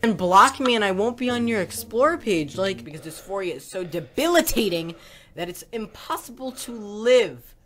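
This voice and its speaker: A woman's voice: medium pitch, big pitch swings, normal speed, fairly bright tone. A woman giving a casual, opinionated rant in a blunt, slangy, sweary way.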